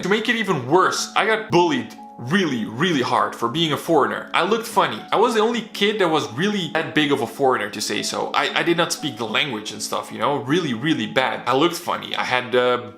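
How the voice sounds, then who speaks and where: A man's voice: a little high, sharp tone. A young man raised in the Netherlands with Bosnian as his first language, earnestly telling his own story in a candid, casual vlog. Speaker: vlogger. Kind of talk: personal motivational story. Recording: roomy echo.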